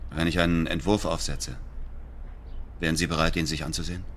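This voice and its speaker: An actor in a radio drama playing a bitter, haunted middle-aged man who narrates his own story in a terse, gravelly voice. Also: flat delivery, fairly quick, fairly bright tone, slight background noise. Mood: guarded, stiff. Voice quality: very low, gravelly. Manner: terse, brooding.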